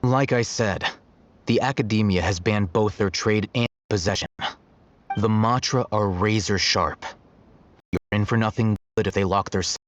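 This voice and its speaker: A man plays a shrewd young scholar in an anime-style game. He is on the low side in pitch, aloof and matter-of-fact, and the capture is slightly muffled. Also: close to an everyday voice. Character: cool, shrewd young male scholar making a deal.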